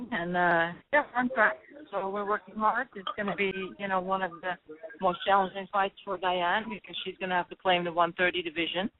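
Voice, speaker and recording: A woman boxing trainer talking emphatically in a phone interview. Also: on the low side. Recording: tinny phone line.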